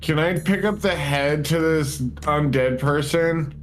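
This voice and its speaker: A man's voice: a little high, slow pace. A man talking casually as a player in a tabletop roleplaying game, with music behind him.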